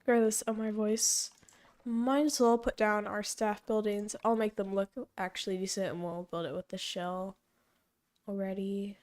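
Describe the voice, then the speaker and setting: A young woman streaming a zoo-building game, thinking aloud and correcting herself as she goes, her voice slightly hoarse and fading. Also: mid-range voice, fairly even intonation, moderate pace, darkish tone. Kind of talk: casual gameplay commentary.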